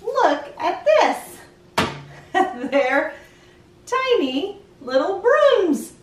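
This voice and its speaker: A middle-aged woman hosting a children's read-aloud, playfully leading young listeners through counting and asking them questions in an animated sing-song way. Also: very high-pitched, extremely slow, background noise. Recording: roomy echo, distant mic, music behind.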